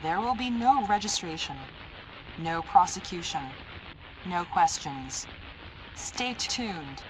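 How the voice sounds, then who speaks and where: A woman's voice: lowish voice, lively intonation, slow, long pauses. A woman reading a scripted emergency alert as an announcer, in a formal, impersonal, automated-sounding delivery. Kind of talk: scripted emergency broadcast narration. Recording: noisy analog horror alert broadcast.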